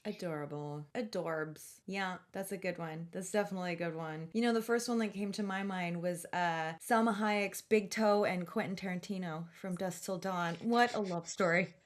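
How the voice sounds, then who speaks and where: A woman hosting a casual podcast, talking in an enthusiastic, animated, slangy way with easy giggles and swearing. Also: fairly low pitch, moderate pace, few pauses. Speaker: podcast host.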